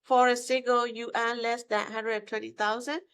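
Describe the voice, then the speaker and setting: A woman, her voice sitting a little high. Her English is broken and halting, full of "uh" and "right?" as she explains her work to an interviewer. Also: level tone, a bit bright, clean tone. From Myanmar. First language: Burmese. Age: 50s. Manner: broken English with hesitations, emphatic tag questions. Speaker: guest, mortgage broker. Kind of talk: casual interview answers.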